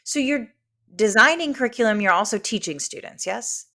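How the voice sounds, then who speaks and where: A woman's voice: a little high, animated, moderate pace, sharp tone. A woman hosting an education podcast, introducing and interviewing her guest in an enthusiastic, encouraging way. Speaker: podcast host.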